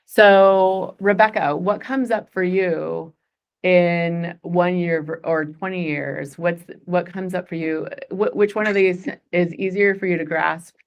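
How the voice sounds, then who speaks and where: A woman's voice: lowish voice, steady tone, takes it slowly, clear, resonant tone, dull audio. A woman in her forties leading a goal-setting workshop, chatty and upbeat, laughing often. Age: 40s. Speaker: workshop facilitator.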